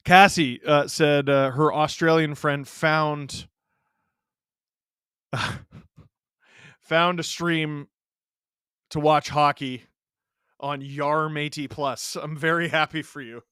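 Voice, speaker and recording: Canadian-raised guy streaming hockey, bright clear voice, jokey and reactive, dry close mic. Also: on the high side, slow, long pauses, no room sound. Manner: animated, joking, reacting to play and chat. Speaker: live hockey watch-along host. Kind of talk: casual live-stream commentary.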